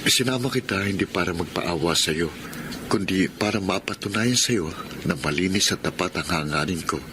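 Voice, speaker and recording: A man's voice: low-pitched, moderate pace, noisy background, close to an everyday voice. A man acting a humble, working-class father and devoted suitor, sincere and pleading, in a Filipino radio drama.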